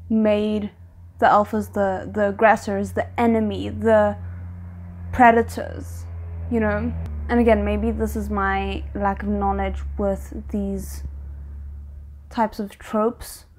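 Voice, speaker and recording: A woman's voice: mid-range voice, a little mellow. A young adult woman giving casual reaction commentary, earnest and reflective, picking her phrases hesitantly as she goes. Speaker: anime reaction host. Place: small bedroom, indoors.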